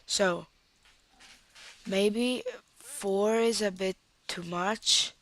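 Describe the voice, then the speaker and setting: A young Italian woman with an Italian accent and a cold, talking through a screen-recorded software tutorial and thinking aloud as she clicks, at a desktop mic. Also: medium pitch, fairly even intonation, very slow. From Italy. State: has a cold.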